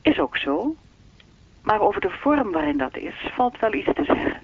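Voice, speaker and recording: A man's voice: sits high, big pitch swings, moderate pace, vocal fry, no room sound, full character voice. A man playing an enigmatic, all-knowing keeper of time in a radio play, speaking formally and teasingly through a telephone filter. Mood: formal, unruffled. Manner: formal, lecturing, unruffled, faintly teasing. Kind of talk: radio drama dialogue. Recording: thin, dry telephone-line sound.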